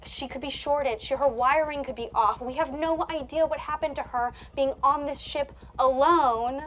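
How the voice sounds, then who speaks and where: A woman with a high-pitched voice plays a tabletop role-playing game. She improvises in casual speech, half in character, and is blunt and argumentative. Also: moderate pace, a bit bright.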